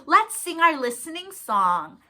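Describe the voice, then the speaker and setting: A woman's voice: sits high, big pitch swings, unhurried, bright, forceful tone. A woman hosting a children's storytime, talking directly to young listeners in a playful, encouraging way. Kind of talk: children's storytime read-aloud with warm-ups.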